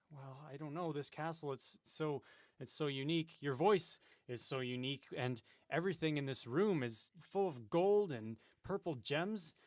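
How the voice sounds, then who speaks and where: A bewildered radio DJ with amnesia, acted by a man, earnest and full of questions. His voice sits somewhat high for a man, and the recording is dry and close. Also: normal speed, no room sound, natural voice. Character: confused amnesiac male radio DJ. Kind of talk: comedic audio drama acting.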